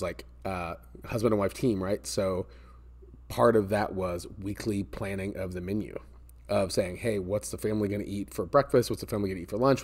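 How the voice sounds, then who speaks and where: Male, American, 40s, slightly low voice; conversational coach delivering casual advice with emphatic repetition. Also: warm tone. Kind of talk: casual advice talk to camera. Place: indoor office space with metal ceiling and glass garage door.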